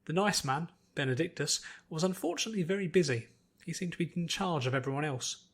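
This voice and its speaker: Higher-pitched male story narrator. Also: animated, quick pace, breathy edge. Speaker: audiobook narrator.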